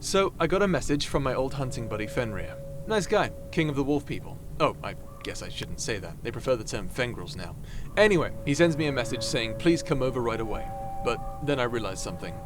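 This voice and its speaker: A man playing an excitable, cheeky adventurer in an ASMR roleplay drama, animated and theatrical with a stammering, jokey delivery. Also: medium pitch, brisk, noisy background, lightly acted. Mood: showy, upbeat.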